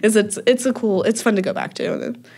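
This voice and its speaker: A woman's voice: mid-range voice, very animated, fairly quick, darkish tone, slightly rough. A young woman chatting casually as a podcast guest, with frequent ums and easy laughter. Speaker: podcast guest, music festival worker. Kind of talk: casual interview chat.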